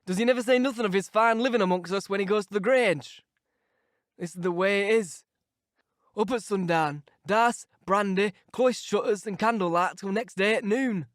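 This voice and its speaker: A man's voice: sits high, moderate pace, a bit bright, dry recording, clean studio sound. A man doing a grumbling old servant. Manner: gossipy, grumbling. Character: old, grumbling manservant from the moors.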